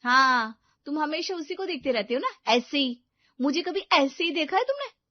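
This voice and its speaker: A woman's voice: high voice, big pitch swings, moderate pace, no room sound, highs cut off, voice pushed up. A woman voicing an envious, discontented young housewife in a storybook tale, whining and exclaiming with self-pity. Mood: accusing.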